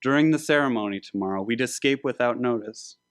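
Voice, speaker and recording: A man's voice: mid-range voice, fairly quick, close to an everyday voice. A man playing an ardent soldier in a biblical story, urgently pleading with his secret male lover. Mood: urgent, hopeful.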